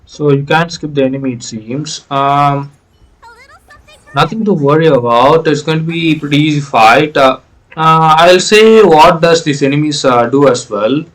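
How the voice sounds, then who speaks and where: A man giving explanatory video game playthrough commentary in a halting, hesitant way. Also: fairly high pitch, poor audio. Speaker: gaming video creator.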